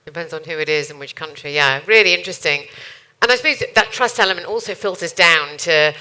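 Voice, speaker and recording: A woman's voice: lowish voice, a little fast, pushed and bright, low-quality recording. A woman moderating a panel discussion, asking questions in a chatty, conversational way.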